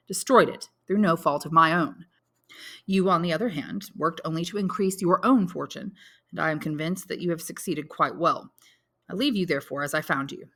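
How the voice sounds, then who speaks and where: A woman's voice: deep voice, a bit bright. Woman reading as a sardonic, formal banker husband. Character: bitter, sarcastic banker husband writing farewell letter. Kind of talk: audiobook reading of a letter.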